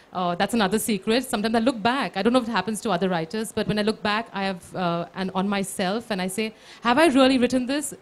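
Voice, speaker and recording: A woman author giving a speech at her book launch, earnest and hesitant, with many fillers. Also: mid-range voice.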